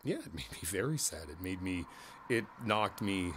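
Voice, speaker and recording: A man playing a kindly older neighbor in an ASMR roleplay, reflective and candid, talking in a halting, conversational way and repeating his phrases. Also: deep voice, animated, fairly bright tone, breathy edge, full character voice. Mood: somber, candid.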